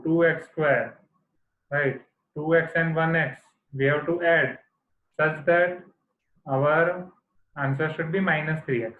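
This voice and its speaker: An Indian man teaches a live online maths class, switching between English and Hindi and asking students to respond in the chat. His voice sits a little high. The sound is echoey and thin, like a phone line. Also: a little hiss or hum. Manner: code-switching, checks in with students often.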